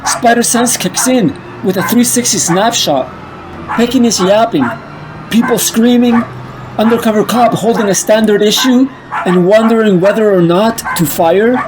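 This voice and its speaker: A man's voice: high voice, normal speed, lightly acted. A man acting a wry masked superhero, noisy backdrop. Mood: tense, focused. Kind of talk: comic-book internal monologue and banter. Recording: noisy ambient sound behind.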